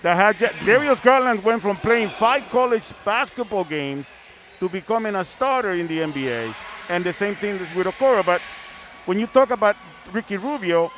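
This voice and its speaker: High-pitched male sports show host. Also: lively intonation, normal speed, fairly bright tone. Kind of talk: live sports show discussion. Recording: faint background noise.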